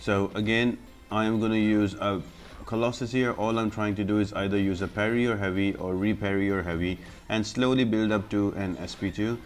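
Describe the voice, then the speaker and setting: A man's voice: lowish voice, steady tone, fairly quick, soft background music. A man giving explanatory gameplay commentary as a guide host, in an instructional, step-by-step manner.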